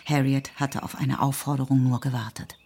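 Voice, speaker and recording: A woman narrating a literary radio drama in a measured, deliberate way, as a composed storyteller. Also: very deep voice, level tone, warm tone, husky, heavily acted. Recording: music under the voice. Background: music.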